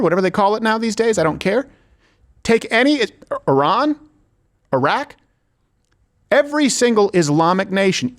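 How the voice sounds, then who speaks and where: A man hosting a political talk show, giving opinionated commentary in an emphatic, sarcastic manner. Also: sits high.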